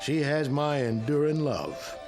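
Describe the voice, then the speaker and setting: A man's voice: on the high side, measured pace. An actor playing a proud farmer father giving a hearty welcome speech, in a radio drama. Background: music underneath.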